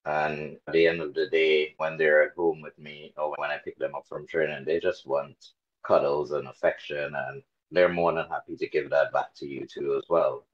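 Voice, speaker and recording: Very deep-voiced man, relaxed and hesitant. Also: lo-fi sound. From Trinidad and Tobago. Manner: relaxed, hesitant with many fillers. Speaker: interview guest, dog carer volunteer. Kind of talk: casual interview conversation.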